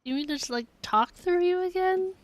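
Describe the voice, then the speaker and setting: A woman's voice: very high-pitched, normal speed, dry recording. A woman chatting casually on a game livestream, informal and reacting as she plays. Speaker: game streamer.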